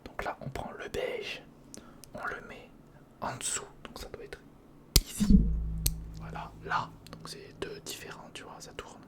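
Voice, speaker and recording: A breathy, unvoiced whisper carries this ASMR commentary. The speaker mutters casually to themselves while working with their hands, repeating short phrases in informal slang, close to the mic. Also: unhurried, pauses often. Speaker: ASMR video creator. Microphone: close-up studio condenser or handheld recorder.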